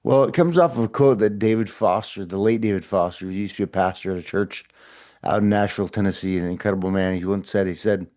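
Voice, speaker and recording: A man's voice: on the low side, fast talker, darkish tone. A middle-aged man hosting a short motivational podcast, talking earnestly and encouragingly with frequent repeats and restarts. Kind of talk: casual motivational talk to camera.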